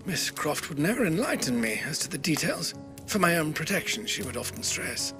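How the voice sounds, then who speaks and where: An actor playing a polite elderly butler who speaks slowly and courteously, in a video game cutscene. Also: male voice, on the high side, sing-song, over music. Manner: slow, courteous.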